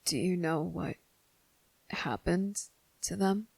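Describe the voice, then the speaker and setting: A woman playing a wary, blunt but softening young boy in an ASMR roleplay, speaking deliberately with hesitant pauses. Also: lowish voice, creaky, husky, no room sound, heavily acted. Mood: softening, gentle. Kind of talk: ASMR roleplay audio drama.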